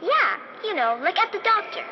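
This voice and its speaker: Very high, lilting, eerie little girl. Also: very animated, normal speed. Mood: innocent, eerie. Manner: childlike, singsong, naive. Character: eerily cheerful little girl, innocent and strange. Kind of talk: 1950s radio drama acting. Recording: muffled vintage radio sound, clear noise bed.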